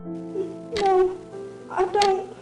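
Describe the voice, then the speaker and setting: A woman's voice: very high voice, level tone, extremely slow, heavily acted. A woman playing a troubled, guilt-ridden young pony filly in an audio drama, tearful and distrustful. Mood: tearful, subdued. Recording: roomy voice over background music.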